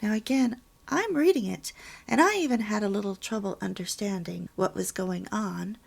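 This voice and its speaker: A woman hosting a homemaking channel, reading a pamphlet aloud at home and adding her own comments in a soft, hushed, half-whispered voice. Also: medium pitch, moderate pace. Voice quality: breathy. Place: home, early morning.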